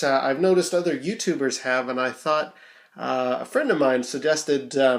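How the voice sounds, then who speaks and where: Animated casual vlogger from Canada. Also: mid-range voice. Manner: rambling, hesitant, thinking aloud. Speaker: YouTuber. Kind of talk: casual unboxing vlog.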